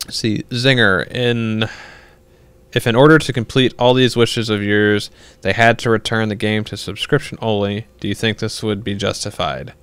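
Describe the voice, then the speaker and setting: A young man with a low, smooth voice chatting casually on a livestream. He rambles through viewer questions with plenty of ums and restarts and laughs easily. The sound is close and dry. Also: steady tone, moderate pace, fairly bright tone, no room sound. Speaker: livestream host.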